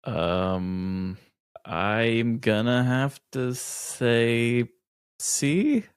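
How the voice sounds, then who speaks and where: A man's voice: mid-range voice, very slow, smooth, no echo. A man co-hosting a comedy podcast, bantering casually with a wry, joking manner. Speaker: podcast co-host.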